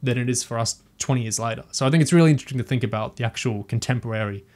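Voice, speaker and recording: A man speaking as a podcast panelist in casual discussion, musing in a thoughtful, halting way. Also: mid-range voice, a little mellow.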